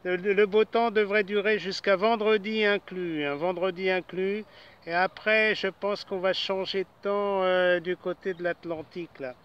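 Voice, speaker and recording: A man chatting as an amateur operator over shortwave radio, pausing and repeating phrases. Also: sits high, steady tone, clear, resonant tone, muffled recording. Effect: single-sideband shortwave radio. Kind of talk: casual radio sign-off chat.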